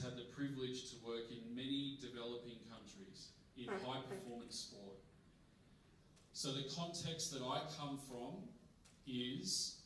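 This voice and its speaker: A middle-aged Australian man giving a relaxed conference presentation, with plenty of ums and uhs. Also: mid-range voice, a little hiss or hum. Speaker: guest presenter. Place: large conference hall. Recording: echoey hall from far off.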